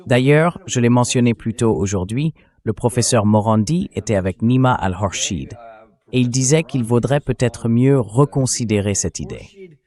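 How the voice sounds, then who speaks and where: A man's voice: mid-range voice, lively intonation, moderate pace, a little mellow. A man hosting a political commentary show in a French translated voice-over, speaking critically with incredulous rhetorical turns.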